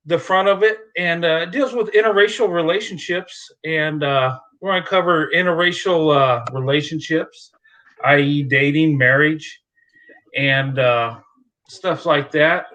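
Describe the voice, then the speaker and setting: A man hosts a live stream in easygoing chat, reassuring his guest and laughing often. His voice is bright and fairly high, and his talk is full of "uh" fillers.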